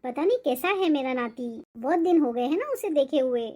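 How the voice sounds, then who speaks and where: A woman's voice: high voice, animated, moderate pace, a little mellow. A woman voicing a nagging older mother-in-law in a cartoon family drama, with a complaining manner. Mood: fond, eager. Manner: scolding, complaining.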